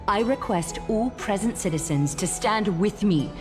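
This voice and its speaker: A calm, regal leader, voiced by a woman, addresses a crowd with formal authority. Her pitch moves expressively, with loud game music behind her. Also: a little slow, close to an everyday voice. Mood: commanding. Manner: poised, commanding, formal. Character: composed, authoritative female demigod leader.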